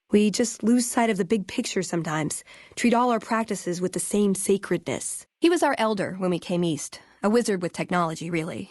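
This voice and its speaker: A woman acts as a young American woman scribe in a video game. She is earnest and conversational, with a dry wit. Her voice sits at a middle pitch, recorded very dry with no room sound. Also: darkish tone, no echo, lightly acted. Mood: earnest, reflective. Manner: conversational, dryly wry. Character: wry, earnest young woman scribe of a tech-hoarding order. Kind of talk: video game character dialogue.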